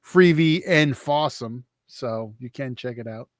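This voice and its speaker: A man's voice: medium pitch, unhurried, no room sound, clean studio sound. A man co-hosting a casual film review show, teasing his partner and laughing often. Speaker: podcast co-host.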